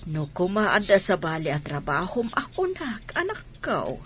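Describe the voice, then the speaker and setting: A woman's voice: fairly low pitch, wildly swooping pitch, a little slow, fairly bright tone, a little hiss or hum, heavily acted. A woman with an Ilocano accent playing an older mother, fretful and scolding, with sighing interjections in a radio drama. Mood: worried, tender. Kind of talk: Filipino radio drama acting.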